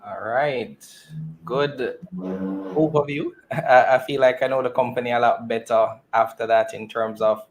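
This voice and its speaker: A man's voice: medium pitch, a little hiss or hum, poor audio. A man moderating an earnings call in a conversational business style. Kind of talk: earnings call question hosting.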